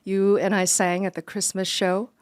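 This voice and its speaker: A middle-aged woman from Taiwan telling personal stories conversationally as an interview guest, animated and chatty. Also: mid-range voice, normal speed, no room sound, clean studio sound. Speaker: interview guest, business leader.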